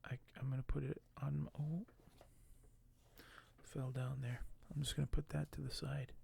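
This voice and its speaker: A man speaks softly as an ASMR host, often slipping into a breathy whisper. His voice is mellow with some creak in it, and his pitch barely moves. He takes his time, hesitant and a little apologetic. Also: little pitch movement. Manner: slow, hushed, hesitant, apologetic. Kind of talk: ASMR unboxing, soft-spoken.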